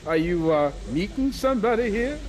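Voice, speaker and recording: A man's voice: sits high, animated, normal speed, darkish tone. An actor playing an earnest, determined young male scientist, precise and insistent, in a radio drama.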